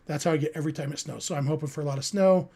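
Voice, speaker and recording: American guy doing casual live sports podcast, pretty high voice, earnest and conversational. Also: rapid-fire, warm tone, husky. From California, United States. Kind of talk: casual live sports talk, answering listener chat.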